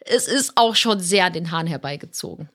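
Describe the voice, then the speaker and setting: A woman co-hosting a podcast, talking in a chatty, lively way with fillers. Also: on the low side, brisk. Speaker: podcast co-host. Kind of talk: casual podcast chat.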